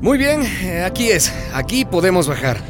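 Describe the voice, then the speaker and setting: An actor playing a deferential, hesitant assistant to a company boss in a radio drama. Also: male voice, high-pitched, very animated, moderate pace, music in the background. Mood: eager to please. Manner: hesitant, obsequious.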